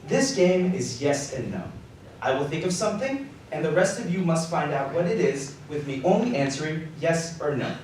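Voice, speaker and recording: Man playing good-humored nephew, lively and very echoey. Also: fairly high pitch, moderate pace. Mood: playful. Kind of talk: live radio drama acting. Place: theater stage before live recording. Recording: distant, very echoey live stage, faint noise.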